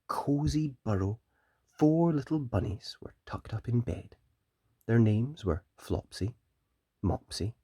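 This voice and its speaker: Mellow, expressive children's storybook reader. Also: mid-range voice, no room sound, studio quality.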